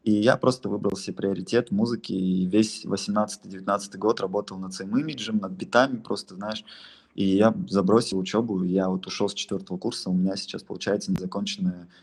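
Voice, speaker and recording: A man's voice: low voice, fairly even intonation, quick pace, darkish tone, slightly rough. A young man from Russia giving casual, rambling, slangy answers as an interview guest, often restarting his sentences. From Russia, Altai region. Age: young adult. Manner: rambling, slangy, frequent false starts. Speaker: interview guest, beatmaker and music producer.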